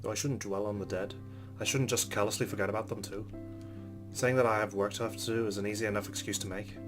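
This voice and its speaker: Male let's play host, low voice, rapid pace, reading story narration with commentary. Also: steady tone, over music.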